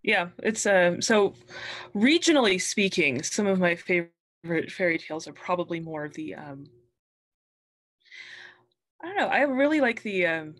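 A woman's voice: lowish voice, lively intonation, measured pace, pauses often. A woman in her thirties speaking as a guest author, chatting casually in a hesitant, thoughtful way over a video call. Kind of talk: casual chat. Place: room at home, video call. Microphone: wired earbuds headset.

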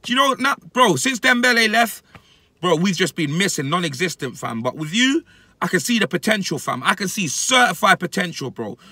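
A man in his thirties with a London accent, giving an animated, emphatic fan rant to camera in heavy, repetitive street slang. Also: high-pitched, a little fast, no echo. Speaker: football fan reacting to his club. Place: inside a parked car. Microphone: phone at arm's length.

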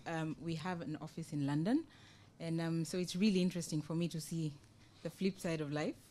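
Young woman, Kenyan accent, fairly low pitch; NGO panellist presenting informally, measured and explanatory. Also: fairly even intonation, dark tone. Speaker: NGO project officer, panel speaker. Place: conference room, indoors, panel table. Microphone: table microphone on a stand.

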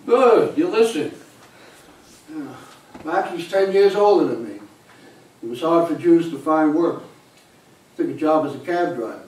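An older man acting a stubborn, gruff 85-year-old grandfather, an immigrant former cabbie, in a live stage play. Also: a little high, lively intonation, a little slow, pauses often, a little mellow. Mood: matter-of-fact, reminiscing. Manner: gruff, defensive, matter-of-fact. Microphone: far camera in the audience. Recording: echoey small theater, distant camera, faint hiss.

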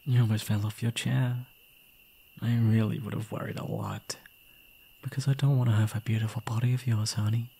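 A young man playing an obsessive, gleeful yandere kidnapper in an intimate ASMR roleplay, doting on the listener in a breathy voice. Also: on the low side, steady tone, normal speed, mellow. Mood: soothing, menacing. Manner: doting pet names, unhinged chuckling, swinging into whispers.

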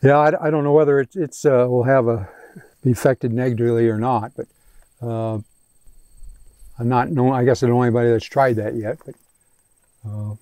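A man's voice: mid-range voice, fairly even intonation, normal speed, pauses often, mellow, dry recording. A man answering a crowd's questions at an outdoor field day, talking with many restarts and fillers into a handheld mic on open prairie. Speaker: range scientist answering questions. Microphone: handheld microphone.

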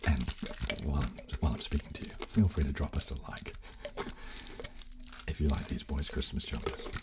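A man in his thirties hosting casual ASMR, giving gentle, dryly playful instructions close to a studio microphone. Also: rumbling low, moderate pace, very noisy. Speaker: host of an ASMR channel. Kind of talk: hushed ASMR, casual demonstration. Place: small furnished indoor room, seated at a table. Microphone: close studio condenser microphone on the table.

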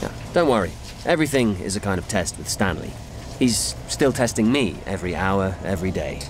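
An actor playing a dry, loyal, overworked assistant to a film director in a radio drama, matter-of-fact and understated. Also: male voice, low-pitched, animated, fairly quick, slight background noise. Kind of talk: naturalistic radio drama dialogue.

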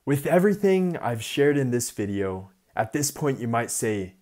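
A man's voice: medium pitch, sing-song, moderate pace, a little mellow. A young man with a nasal voice, talking to the camera in a casual vlog in a small indoor room on an earphone mic. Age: young adult. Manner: upbeat, earnest, talks to camera. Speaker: vlogger. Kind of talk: casual self-improvement vlog. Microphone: earphone headset mic.

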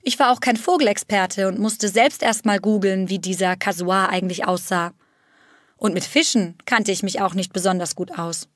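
A woman reads a first-person story in a steady, clear narrating voice. Her pitch sits mid-range, and the sound is dry and close-miked. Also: normal speed, no room sound.

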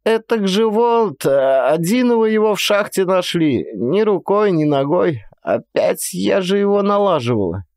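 A male narrator plays a frail old village woman in a storybook, her voice pitched high and swinging up and down. She rambles in folksy, resigned, childlike phrases, with sighs. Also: very animated, measured pace, hardly any pauses, a little mellow, no echo, studio quality, heavily acted. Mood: plaintive recollection. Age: older. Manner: folksy rural speech, rambling, sighing. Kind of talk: dramatized audiobook storytelling.

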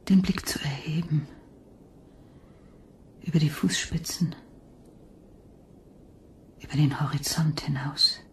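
A woman playing a weary, sick woman in a radio drama, reciting solemnly in drawn-out whispers. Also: deep voice. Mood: weary, bitter. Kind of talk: slow poetic German radio drama.

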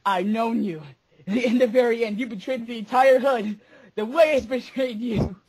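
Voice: medium pitch, normal speed, darkish tone, breathy edge, no room sound, lightly acted, rough recording. An amateur fandubber voicing a betrayed, accusing young male soldier in slangy, profane street talk for a comic parody. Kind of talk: amateur comedic fandub, improvised over a Skype call.

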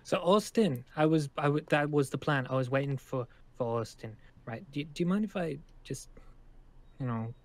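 A man's voice: fairly high pitch, expressive, normal speed, close to an everyday voice. A man playing a nervous, awkward but polite survivor in a Minecraft roleplay, hesitant and often stammering over repeated words.

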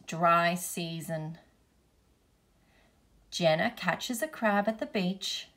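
A woman reads a children's picture book aloud. Her pace is slow, with careful, deliberate phrasing. The voice sits at an average female pitch. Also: a bit bright. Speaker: storybook reader, teacher.

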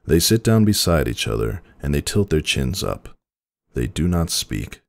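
A man with an extremely deep, creaky voice narrates a dramatic story. His delivery is measured and solemn, with expressive rises and falls, captured close and dry. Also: no room sound.

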